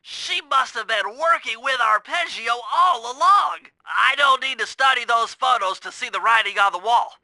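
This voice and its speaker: A man's voice: very high-pitched, moderate pace, few pauses, pushed and bright, no echo, heavily acted. A male actor playing a nerdy, anxious young turtle tech genius in a video game, with a nasal voice and fussy, precise delivery. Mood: alarmed.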